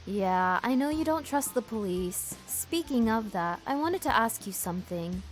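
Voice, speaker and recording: Earnest young daughter, higher-pitched. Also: female voice, lively intonation, a little slow, faint music behind. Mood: weary, firm. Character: determined young woman hospital worker, independent daughter. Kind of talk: visual novel voice acting.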